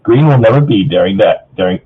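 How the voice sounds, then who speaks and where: Husky man narrating over a phone-like line. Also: fairly low pitch, expressive, fairly quick. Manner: breaks off to joke with co-reader. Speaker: narrator of fan fiction. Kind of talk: storybook narration with casual banter. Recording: thin phone-like voice chat sound.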